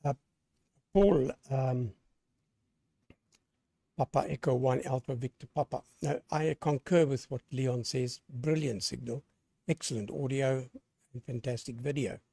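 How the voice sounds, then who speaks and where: A hoarse man running an amateur radio net, talking in a lively on-air way and spelling callsigns with the phonetic alphabet. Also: medium pitch, measured pace, long pauses, no echo. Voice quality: gravelly.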